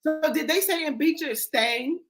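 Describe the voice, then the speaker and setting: A woman hosting a podcast, telling stories in a loud, profane, animated way. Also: high voice, normal speed. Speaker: podcast host. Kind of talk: casual chat.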